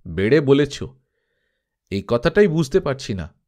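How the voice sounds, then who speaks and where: A man's voice: medium pitch, sing-song, measured pace, darkish tone. A man voicing a grumbling, cocky, mocking male adventurer in a dramatised audiobook. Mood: puzzled.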